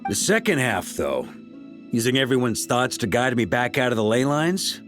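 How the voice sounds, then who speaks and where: An actor playing a seasoned, easygoing knight grandmaster in a video game, speaking in a laid-back, wry way. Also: male voice, medium pitch, sing-song, normal speed, over music, lightly acted. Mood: reflective. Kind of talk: video game character dialogue.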